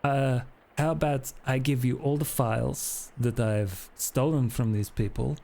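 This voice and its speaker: A man's voice: medium pitch, fairly even intonation, measured pace, vocal fry, warm tone. A man playing a shady vampire drifter, eager and haggling, who stammers through his offers in a tabletop game. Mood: eager, bargaining.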